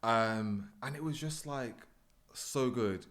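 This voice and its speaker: A man's voice: mid-range voice, slow. A young man hosting a podcast, chatting casually and rambling with lots of fillers. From not born in the UK. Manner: relaxed, rambling with many fillers. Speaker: podcast host. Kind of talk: casual chat.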